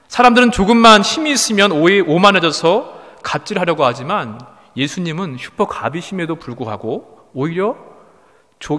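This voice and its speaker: A man preaching a sermon as a pastor in formal, deliberate phrasing, explaining point by point. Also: on the high side, a bit bright. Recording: long hall reverb.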